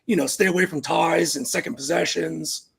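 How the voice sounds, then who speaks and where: A man with a naturally raspy voice, chatting casually as a panelist on a live sports betting show. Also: fairly high pitch, steady tone, normal speed, a loud crowd. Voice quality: raspy, gravelly voice.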